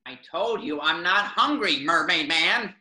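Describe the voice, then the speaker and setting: A grumpy cartoon sidekick, played by a man, with a very sharp, bright voice that jumps around in pitch. He is whiny and sarcastic, and sounds recorded in an echoey room. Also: lively intonation, unhurried, full character voice. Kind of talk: cartoon script table reading.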